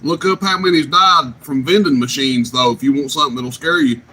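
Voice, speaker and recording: A man's voice: fairly high pitch, fairly quick. Teasing man on CB radio. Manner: teasing, joking, needling. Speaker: CB radio operator. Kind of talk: casual radio banter. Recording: noisy CB radio transmission.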